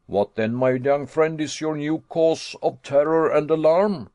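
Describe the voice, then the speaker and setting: A man reading an audiobook, voicing a calm older professor who speaks in a cool, measured, faintly amused way. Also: a little high, no room sound, pitched above the performer's own voice, close to an everyday voice.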